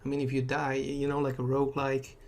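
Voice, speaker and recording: A man's voice: medium pitch, completely flat delivery, brisk, a little mellow. A man casually commenting as he plays a video game, rambling and hesitant, often stopping to correct himself. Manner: rambling, hesitant, self-deprecating. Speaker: gaming YouTuber. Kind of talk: casual let's play commentary. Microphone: desktop mic over game audio. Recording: game music playing behind voice.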